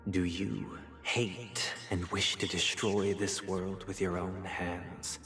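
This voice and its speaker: A low, smooth powerful patriarch in a game drama, formal and fastidious, with quiet menace. He questions in measured, commanding phrases over clear music, with a long reverberant tail on his voice. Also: flat delivery. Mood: interrogating. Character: composed, menacing, fastidious male head of a powerful family. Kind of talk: dramatic video game voice acting.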